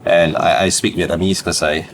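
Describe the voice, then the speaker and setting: Man, Singaporean accent, young adult, low pitch, easygoing guest interview, frequent laughs. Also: flat delivery, a little fast, a little hiss or hum. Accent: Singaporean English. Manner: chatty, laughs often, ends phrases with "lah". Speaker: startup founder, podcast guest. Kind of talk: casual interview storytelling.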